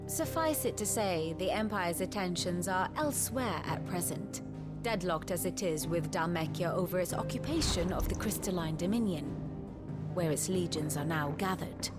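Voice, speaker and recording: A woman playing an arch, theatrical scholar, lowish voice. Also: moderate pace, loud music behind the voice, close to an everyday voice. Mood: measured, arch. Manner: erudite, formal, archly theatrical. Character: elegant, theatrical woman scholar, a wry strategist and mentor. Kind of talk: dramatic expository game dialogue.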